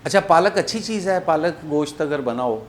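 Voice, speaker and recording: A man with a Pakistani accent making a prank phone call, rambling and repeating himself in deliberately confusing improvised banter. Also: on the high side, animated. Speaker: prank caller hosting a comedy show.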